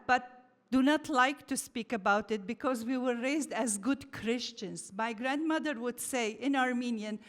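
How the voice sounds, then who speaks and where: A woman from Lebanon giving an earnest, heartfelt address at a community lodge meeting in a church hall. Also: a little high. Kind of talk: formal address. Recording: hall reverb.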